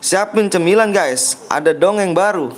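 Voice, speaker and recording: Chatty young male game streamer, higher voice. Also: animated, slow, a little hiss or hum. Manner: reads viewer comments aloud and banters, pushing likes and subscribes; playful joking persona. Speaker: game livestreamer. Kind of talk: casual live-stream chat.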